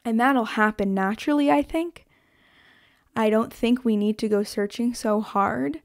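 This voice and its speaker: A woman's voice: a little high, measured pace. Chatty young woman podcast host. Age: 20s. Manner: chatty, animated, filler-heavy, self-interrupting. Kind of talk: solo podcast monologue.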